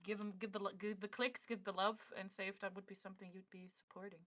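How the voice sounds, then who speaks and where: A woman's voice: mid-range voice, flat delivery, fast talker, fairly bright tone. A woman hosting a casual livestream and passing on questions, informal and encouraging. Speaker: livestream host relaying chat questions.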